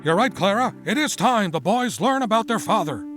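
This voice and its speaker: A man voicing an eccentric, excitable middle-aged inventor in a motion comic, theatrical, wordy and forever digressing. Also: high-pitched, moderate pace, music in the background. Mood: grandiose, rambling. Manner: verbose, digressive, grandiose.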